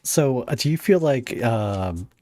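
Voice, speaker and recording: A man hosting a casual interview podcast, halting and rambling as he thinks aloud and puts questions to a guest. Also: mid-range voice, animated, warm tone, no echo.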